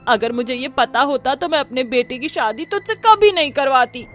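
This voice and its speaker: A woman's voice: high-pitched, moderate pace, faint music behind, close to an everyday voice. A woman voicing a cruel, greedy, middle-aged mother-in-law in a melodramatic moral-story cartoon, with a taunting manner. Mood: angry, taunting.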